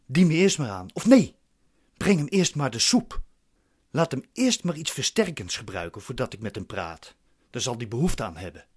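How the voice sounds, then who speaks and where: Radio play defendant: impatient, commanding, questioning, widely swinging intonation. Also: male voice, mid-range voice, very animated, moderate pace, a little mellow, slightly rough. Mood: suspicious, impatient. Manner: curt, questioning. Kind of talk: radio drama acting.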